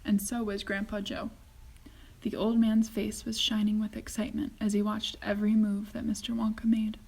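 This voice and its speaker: A woman reading a children's story aloud as a teacher would, with expressive, deliberate narration. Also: mid-range voice, gravelly, breathy edge.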